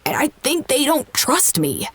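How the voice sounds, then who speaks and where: A woman's voice: sits high, very animated, a little slow, fairly bright tone, exaggerated voice. A woman in an audio drama playing an agitated, defensive scientist who talks casually and swears. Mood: agitated, defiant.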